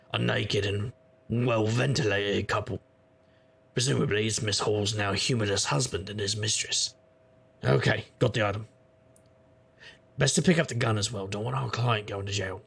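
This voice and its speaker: A low, very husky male private eye, played by an actor in a noir audio drama. He is world-weary and sardonic, delivering his narration and lines with a wry deadpan and a gravelly edge. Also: measured pace, pauses often, full character voice. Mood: wry, tense.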